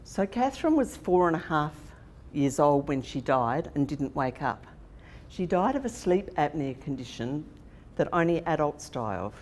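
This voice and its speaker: A woman's voice: sits low, sing-song, moderate pace. Australian woman telling a story in an echoey hall. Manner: warm storytelling with fillers and restarts. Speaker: conference speaker. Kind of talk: personal story told to an audience. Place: conference hall. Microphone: handheld microphone. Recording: echoey hall with faint noise.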